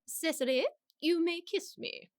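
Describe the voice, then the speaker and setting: An actress playing a haughty upper-class English society matriarch in a radio comedy, making pompous, emphatic, drawn-out pronouncements. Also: female voice, very high voice, no echo, studio quality. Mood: grandly decisive. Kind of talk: radio play comedy of manners.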